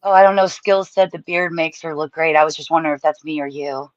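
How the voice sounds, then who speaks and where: Middle-aged woman, calm and dry-humored; muffled, dead-dry remote audio. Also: deep voice, fast, dry recording. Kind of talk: casual chat.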